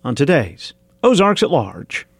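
A man's voice: a little high, wildly swooping pitch, unhurried, creaky, breathy edge, no room sound, studio quality. A man hosting a radio show, presenting from a script.

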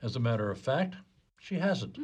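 A man's voice: mid-range voice, very animated, normal speed, a little mellow, breathy edge. A man playing a wry, commanding police detective in a comedy whodunit radio play, with a theatrical, declarative delivery. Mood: wry, confident.

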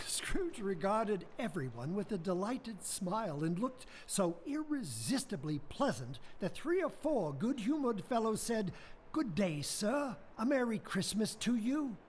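A man narrating a stage radio play with expressive storytelling. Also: high-pitched, measured pace, slight background noise, poor audio.

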